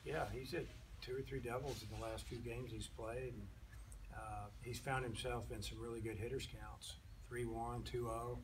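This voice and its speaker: A man's voice: medium pitch, steady tone, moderate pace. A man coaching a college baseball team, answering reporters after a game in a plain-spoken way, with a lot of "you know" fillers. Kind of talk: postgame press conference answers. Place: outdoor press area with sponsor backdrop. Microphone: table mics, recorded from a distance. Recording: distant mic with outdoor noise.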